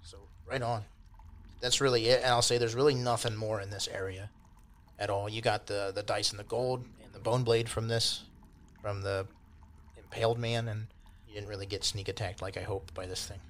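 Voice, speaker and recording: Low-voiced man running a tabletop game. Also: steady tone, moderate pace. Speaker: game master. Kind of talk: casual tabletop game narration. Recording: constant clear background noise.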